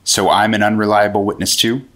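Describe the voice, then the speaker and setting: A man's voice: lowish voice, level tone, a little fast, a bit bright, natural voice. An American man playing an earnest, aggrieved 1950s government agent in a radio drama. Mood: indignant, defensive.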